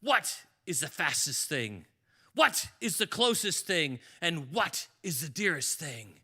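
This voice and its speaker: A man doing a slow, stern knight. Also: a little high, big pitch swings, sharp tone, husky, exaggerated voice. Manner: slow, deliberate, commanding. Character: stern armed male knight, blunt messenger.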